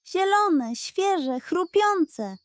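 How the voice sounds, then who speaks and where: A woman's voice: extremely high pitch, expressive, drawn-out words, no echo, voice pushed up, heavily acted. A woman voicing a proud, showy male gander who gardens, in a children's story.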